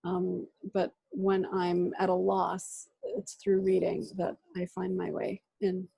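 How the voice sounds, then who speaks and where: A woman poet whose first language is Russian, answering questions thoughtfully over a video call and pausing often. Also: lowish voice, completely flat delivery, creaky, warm tone, slightly rough, no echo, low-quality recording. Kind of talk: reflective answers in an online reading Q&A.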